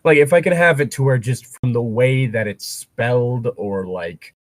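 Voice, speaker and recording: A man's voice: medium pitch, moderate pace, no echo. A man chatting casually between bits of reading fan fiction aloud, rambling and breaking off to comment or swear.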